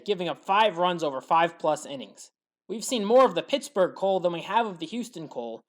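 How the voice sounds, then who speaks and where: A woman reading a scripted play-by-play sports recap in a measured, factual way. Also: medium pitch. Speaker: sports highlights commentator.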